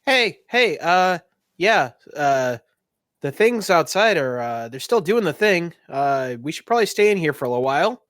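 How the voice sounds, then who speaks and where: Man playing a stammering, higher-pitched timid guard. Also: big pitch swings, fairly bright tone, no room sound, studio quality. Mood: nervous. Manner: halting, stammering, apologetic. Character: nervous, awkward, timid young prison guard.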